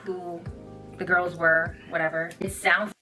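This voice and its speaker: A woman's voice: lowish voice, level tone, measured pace, sharp tone, soft background music. A young woman talking straight to viewers in a blunt, assertive, conversational social media monologue.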